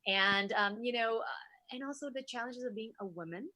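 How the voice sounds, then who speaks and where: A woman's voice: on the high side, normal speed, pushed and bright, dry recording. A middle-aged woman from Vietnam, a native Vietnamese speaker, talks effusively and enthusiastically as a guest in a conversational interview. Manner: effusive, enthusiastic, frequent rhetorical asides. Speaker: interview guest, wellness entrepreneur.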